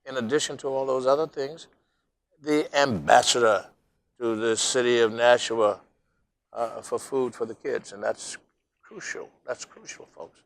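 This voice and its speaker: A man's voice: medium pitch, fairly even intonation, unhurried, creaky, no echo. Older male host, folksy, rambling, speaks straight to viewers. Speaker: talk show host. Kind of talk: local cable interview.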